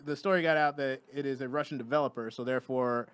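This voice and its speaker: A man's voice: mid-range voice, steady tone, fast, clean tone, no room sound. A young adult man hosting a comedy podcast, laid-back, profane and joking in casual chat. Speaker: podcast host.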